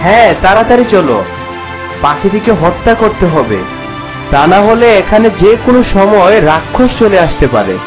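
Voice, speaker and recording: A man's voice: high voice, very animated, moderate pace. Man playing a resolute young fairy-tale hero. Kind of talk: cartoon fairy-tale dialogue. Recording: music behind the voice.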